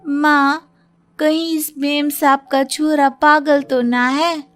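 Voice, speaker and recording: A woman's voice: high voice, flat delivery, slow, pitched above the performer's own voice. A woman voicing a cheeky, rustic young nomad bride in a storybook tale, speaking in an aside. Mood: suspicious. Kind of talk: storybook character acting. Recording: music bed behind the voice.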